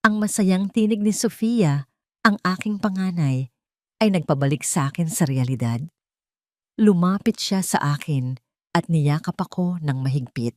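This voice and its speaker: A woman reading scripted first-person narration of a dramatic story in a measured, solemn way. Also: low voice, no room sound, studio quality.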